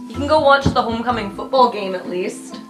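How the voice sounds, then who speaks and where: A woman's voice: on the high side, fairly quick. A woman playing in a tabletop game podcast, improvising casually in conversation. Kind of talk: casual improvised role-play. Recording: slightly distant mic, faint music.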